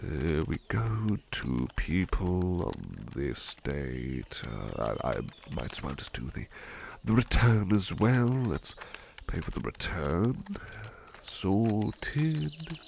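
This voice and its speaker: A man's voice: low-pitched, big pitch swings, slow, gravelly, a little mellow, a little hiss or hum, heavily acted. A man playing a devoted, affectionate butler in an ASMR roleplay, speaking politely and tenderly with hesitant stammers. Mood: flustered, pleased. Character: devoted, affectionate male butler, doting and flustered. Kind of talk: ASMR roleplay, soft personal attention.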